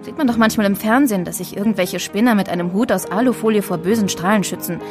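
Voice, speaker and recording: A woman's voice: mid-range voice, fast talker, lightly acted. Prickly young woman over music played by an actress. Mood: dismissive. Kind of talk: audio drama acting. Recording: studio dialogue over clear music.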